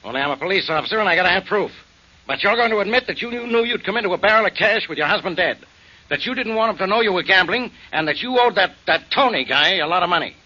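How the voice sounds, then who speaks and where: An insistent police inspector in a 1940s radio drama. He questions a suspect hard, with a rather high voice that is sharp and bright. The recording is dull, like an old broadcast. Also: lively intonation, fast, exaggerated voice. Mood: accusing. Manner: insistent, accusing. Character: pushy male police inspector.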